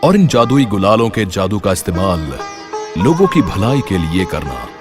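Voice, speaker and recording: A man voicing a deep, solemn magical tree. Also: very animated, normal speed. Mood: admonishing. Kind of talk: Hindi fairy tale voice acting. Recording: music behind the voice.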